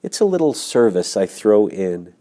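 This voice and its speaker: A wise, kind spider speaks in a calm, brisk, measured way, as a man reads her lines. She stays close to the reader's own voice. It is a gentle storybook figure. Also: on the low side.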